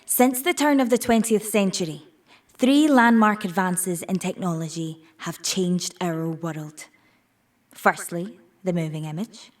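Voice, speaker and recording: A woman's voice: lowish voice, unhurried, close to an everyday voice. A woman playing a composed businesswoman who delivers an emphatic, formal keynote speech in an audio play. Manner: emphatic, structured public speaking. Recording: slightly distant voice over music. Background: music score.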